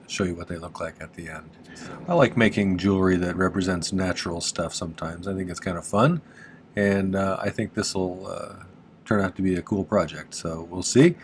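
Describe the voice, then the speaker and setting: Low-voiced congested man teaching a craft. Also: a little hiss or hum. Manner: relaxed and chatty, with frequent "uh" fillers and small laughs. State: congested from allergies, slightly nasal. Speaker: silversmith teaching on his channel. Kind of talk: casual how-to narration.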